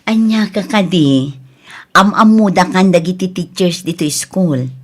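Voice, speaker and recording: A woman plays a motherly schoolteacher in a radio drama, her voice sitting a little low. She has a Filipino accent and talks in a kindly, encouraging, coaxing way. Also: a little slow. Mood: kindly, persuasive. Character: kindly, encouraging female schoolteacher, older than her student. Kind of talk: Ilocano radio drama acting.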